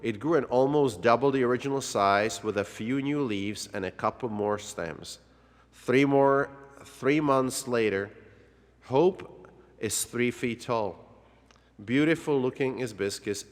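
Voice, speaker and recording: A man narrating a children's read-along story in a dramatic way, drawing out words for effect. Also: mid-range voice. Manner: dramatic, with drawn-out words and exclamations. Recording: long hall-like reverb.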